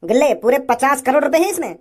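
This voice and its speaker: A woman's voice: high voice, big pitch swings, fast talker. A woman voicing a jittery, simple male bandit henchman in a comic cartoon, stammering as they talk. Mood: nervous.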